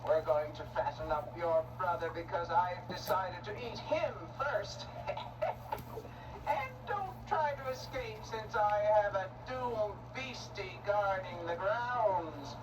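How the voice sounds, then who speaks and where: A woman's voice: mid-range voice, takes it slowly, distant mic, noisy background, lightly acted. A woman playing a scrawny, cruel, gleeful old witch in a children's story, drawing out gloating, cackling threats. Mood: bossy, menacing. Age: older. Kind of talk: theatrical children's storybook acting.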